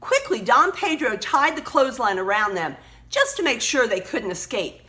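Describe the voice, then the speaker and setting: A woman reading a children's story aloud expressively, emphatically pausing to point out words and pictures. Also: a little high, big pitch swings, pushed and bright.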